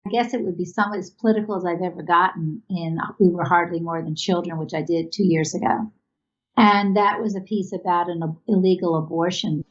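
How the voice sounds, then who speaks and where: A woman giving reflective, halting interview answers as an artist, recorded over an internet video call. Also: on the low side, fairly even intonation, muffled recording.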